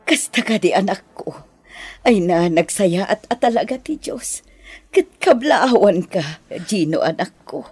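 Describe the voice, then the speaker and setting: Raspy, tender Ilocano mother, slow-spoken. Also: mid-range voice, lively intonation, darkish tone, dry recording. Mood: proud, touched. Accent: Filipino, Ilocano. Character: proud, tender, grateful mother of a grown son. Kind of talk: Ilocano radio drama acting.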